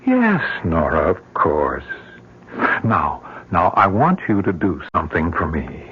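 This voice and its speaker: Casting: bewildered, tender husband, hesitant husky voice, old-time radio drama, muffled recording. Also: male voice, sits low, wildly swooping pitch, takes it slowly, full character voice. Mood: soothing, strained. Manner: hesitant, stammering, earnest. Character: bewildered, frightened, tender husband at wits' end.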